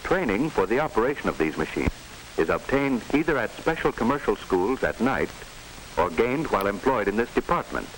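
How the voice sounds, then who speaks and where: Low-voiced American narrator, hissy 1940s film. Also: male voice, big pitch swings, fairly quick, a bit bright, poor audio.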